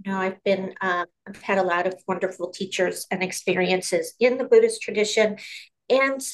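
A woman from Queens, a meditation teacher and author, explaining her practice calmly and carefully on a video call. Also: medium pitch, rough recording. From New York, Queens. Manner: calm, measured explanations with fillers. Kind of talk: interview guest. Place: outdoors or by a window in a loud city.

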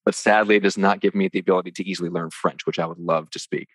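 A man's voice: low voice, steady tone, fast, fairly bright tone, slightly rough, no room sound. A man from the American Midwest hosting a podcast interview, friendly and rambling. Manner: friendly, rambling with fillers and restarts. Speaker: podcast host.

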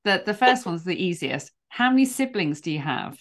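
A woman's voice: lowish voice, big pitch swings, normal speed. A woman hosting a podcast, chatting with a guest in an effusive, encouraging way. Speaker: podcast host. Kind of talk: conversational interview.

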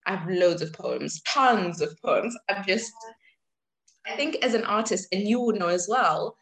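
A woman in her twenties, originally from Zimbabwe, speaks as a podcast guest at a medium pitch. She answers in a thoughtful, reflective way, pausing with small fillers as she gathers her thoughts. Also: lively intonation, rough recording. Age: 20s. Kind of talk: conversational interview answers.

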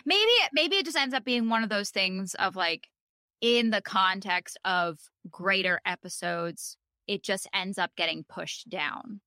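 A woman co-hosting a podcast, reflecting in a measured, casual way. Her voice is bright and crisp at a middle pitch. The sound is dry, with no room around it. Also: no room sound. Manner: thoughtful, measured, with many fillers. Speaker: podcast co-host. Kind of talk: casual chat about a TV episode.

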